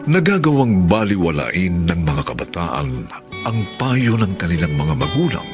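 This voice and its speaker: A man's voice: sits low, very animated, moderate pace. A man narrating a radio drama in a dramatic, scripted style. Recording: narration over clear guitar music. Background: plucked guitar music.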